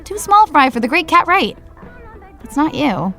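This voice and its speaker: A woman's voice: high voice, big pitch swings, moderate pace, creaky, bright, forceful tone, soft background music, full character voice. An actress playing a bubbly, shallow young woman who talks in valley-girl chatter. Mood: chatty.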